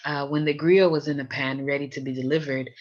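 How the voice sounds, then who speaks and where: A woman's voice: deep voice, flat delivery, fairly quick, darkish tone. American woman storyteller, slightly dull recording. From Miami, USA. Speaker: podcast host. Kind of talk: personal storytelling introduction.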